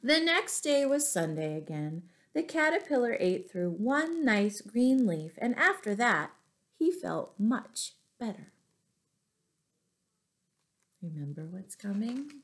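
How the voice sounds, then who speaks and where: A middle-aged woman reading a picture book aloud to young children, with deliberate, expressive phrasing and little asides to her listeners. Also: a little high. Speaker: children's storyteller, teacher. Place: small furnished room at home.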